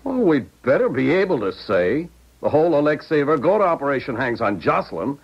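An actor playing a brusque, impatient intelligence agent, blunt and pressing, in a 1970s radio drama. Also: male voice, fairly high pitch, big pitch swings, brisk. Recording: dull 1970s radio recording.

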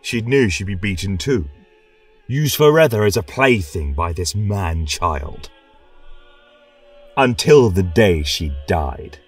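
Deep, slow, husky male storyteller. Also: animated, distant mic, faint music behind. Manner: solemn, theatrical, reverent. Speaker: storyteller and podcast host. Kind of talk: dramatic scripted narration in character as a religious chronicler.

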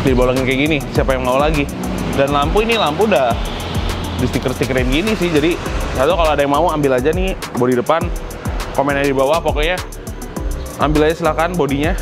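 A young man talks like a casual vlogger. He is slangy and grumbles with exasperation, and music plays clearly behind him. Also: on the high side, quick pace. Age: young adult. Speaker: motovlog host. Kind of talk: casual vlog chat. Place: open concrete garage forecourt by a workshop.

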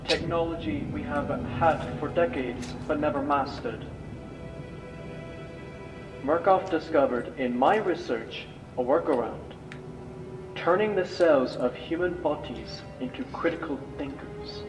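A man's voice: fairly high pitch, a little slow, natural voice. Man acting a grave old scientist, echoey. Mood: grave, explaining. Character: weary guilt-ridden old male scientist, grave, confessing. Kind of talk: dramatic video game monologue, amateur voice acting. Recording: echoey, distant voice over game music.

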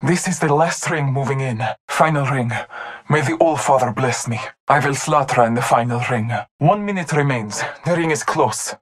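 A woman's voice: a bass voice, normal speed. A woman voicing a solemn, devout masked hunter in a video game, with a Nordic accent, formal grave phrasing and a muffled mask filter. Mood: grave, resolute. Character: solemn, devout masked hunter-warrior, androgynous, reverent and fierce. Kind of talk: video game character voice lines.

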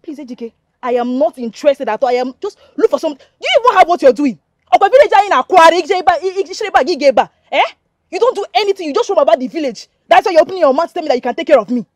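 Sassy money-minded nigerian village girl, igbo accent, high voice, dismissive scolding, nollywood drama, dry sound. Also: expressive, rapid-fire, no echo. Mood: scornful, exasperated. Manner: scolding, dismissive, repeats herself. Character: scornful, money-minded young village woman. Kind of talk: Nollywood-style film acting.

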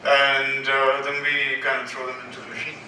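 A man's voice: medium pitch, level tone, moderate pace, sharp tone. A man whose first language is Urdu, speaking casually and hesitantly on a festival panel in a large hall. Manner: loose, hesitant, many fillers. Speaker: panelist musician. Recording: echoey hall from far off, noisy.

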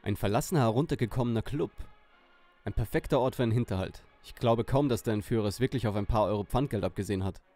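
A man's voice: lowish voice, fast, dark tone, faint music behind, natural voice. A man playing a serious, suspicious young detective gang leader in an audio drama parody.

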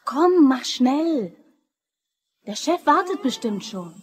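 An actress in a German crime radio drama playing an anxious, devoted young woman drawn into a robbery, speaking softly and with feeling. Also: sits high, sing-song, slow, slight background noise, exaggerated voice. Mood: hurried, affectionate.

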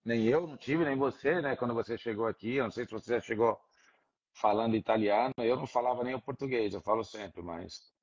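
A middle-aged man, a former footballer, talking in a relaxed, easy way on a video call and dropping many little filler tags. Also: medium pitch, fairly quick, husky. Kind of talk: casual video-call chat. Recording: dull lo-fi video-call audio.

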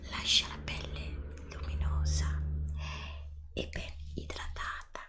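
A middle-aged woman whispering softly and breathily close to the microphone as she presents products in an ASMR style, pausing and repeating words. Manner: hushed, slow whispering with pauses and repeated words. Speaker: ASMR video creator. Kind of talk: ASMR product show-and-tell. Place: indoors, close-up before a green screen.

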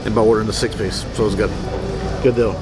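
A man's voice: lowish voice, level tone, fast talker. A man, giving a plainspoken, casual restaurant review to a camera held at arm's length. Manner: easygoing, plainspoken, clears throat. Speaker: food vlogger. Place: busy sports restaurant indoors. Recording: loud background music. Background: loud music.

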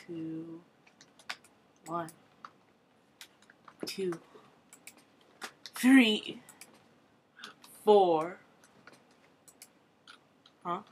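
A woman giving casual commentary on her own gameplay, thinking aloud with quick exclamations, at a desk mic at her computer. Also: lowish voice, a little mellow, a little hiss or hum. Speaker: gamer recording her play.

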